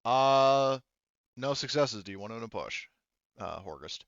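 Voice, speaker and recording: Clear-voiced man running a tabletop game. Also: mid-range voice, animated, a bit bright, no room sound, dull audio. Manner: casual, profane, halting. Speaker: game master.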